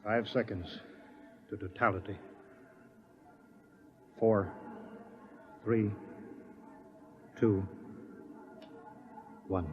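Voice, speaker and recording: An actor playing a commanding observatory scientist in a radio drama, giving clipped, authoritative orders. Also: male voice, fairly low pitch, steady tone, very slow, long pauses. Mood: tense countdown. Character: commanding male observatory scientist, urgent leader. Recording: dull lo-fi radio drama recording.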